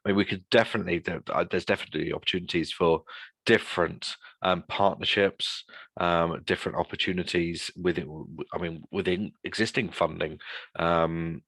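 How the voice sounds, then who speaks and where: Male webinar guest, low creaky husky voice, halting unscripted delivery, close dry sound. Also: fairly even intonation, a bit bright, no echo. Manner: halting, frequently rephrasing mid-sentence. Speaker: guest speaker presenting a survey report.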